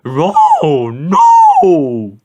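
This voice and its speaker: Big goofy scared cartoon dog, talks slow, mangles every word with r sounds. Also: medium pitch, wildly swooping pitch, dark tone, slightly rough, lo-fi sound, heavily acted. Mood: sobbing fear. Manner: garbled words, R sounds replacing first consonants. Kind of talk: cartoon voice in storybook read-aloud.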